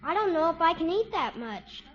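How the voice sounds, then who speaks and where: A woman's voice: extremely high pitch, big pitch swings, moderate pace, crowd noise. A woman playing a chirpy, blunt, innocent little American boy in a 1950s radio comedy. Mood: cheerful, eager. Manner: frank, childlike. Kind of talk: 1950s radio sitcom acting. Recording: muffled, very noisy old radio recording.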